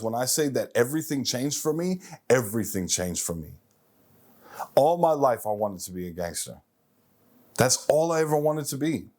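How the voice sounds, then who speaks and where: A man's voice: mid-range voice, darkish tone. A man of forty hosting a self-improvement show, speaking emphatically in a motivational monologue and repeating phrases for effect.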